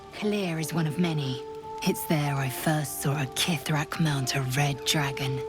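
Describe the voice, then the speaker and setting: Game githyanki warrior, low, slow, curt, with game music underneath. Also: female voice. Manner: curt, disdainful, commanding. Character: proud, harsh female githyanki warrior. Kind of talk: video game cutscene dialogue.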